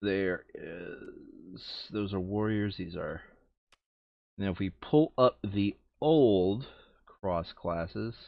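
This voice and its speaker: A man's voice: low voice, very slow, gravelly, no echo, lo-fi sound. A man chatting casually as he hosts a board game, thinking aloud and often correcting himself as he goes. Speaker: board game player and video host. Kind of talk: casual chat while sorting game cards.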